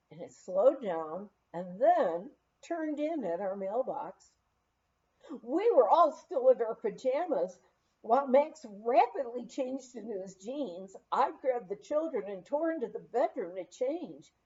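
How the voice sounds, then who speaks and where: A woman reading a memoir aloud in an expressive, unhurried storytelling manner. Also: medium pitch, muffled recording. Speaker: audiobook reader.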